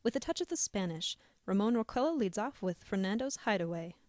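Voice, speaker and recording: A woman playing a radio announcer introducing a dance orchestra, smooth and polished. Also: mid-range voice, lively intonation, a little fast, lightly acted.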